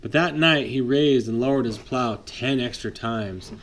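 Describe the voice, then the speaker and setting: Dad reading a bedtime story aloud. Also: male voice, medium pitch, unhurried, a little hiss or hum. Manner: lively read-aloud, voices for the trucks, chats with the child. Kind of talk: reading a picture book aloud to a child.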